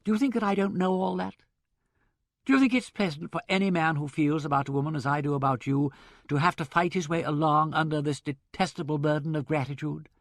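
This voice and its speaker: A man voicing a witty gentleman in love, speaking in emphatic, impassioned outbursts. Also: a little high, fairly even intonation, fairly quick, no echo, studio quality, voice pushed up, lightly acted. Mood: exasperated.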